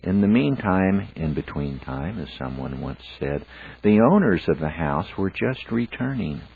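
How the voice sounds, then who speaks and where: A man's voice: deep voice, sing-song, unhurried, creaky, slight background noise. A man reading a fairy tale aloud as a scripted storyteller narration. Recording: phone-like, narrow band sound.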